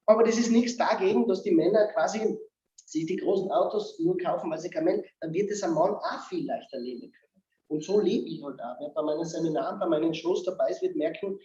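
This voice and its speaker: A man with an Austrian accent, a guest speaker on humor, talking in a lively, joking way in casual talk-show chat. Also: a little high, brisk, echoey room, highs cut off, a little hiss or hum. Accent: Austrian German.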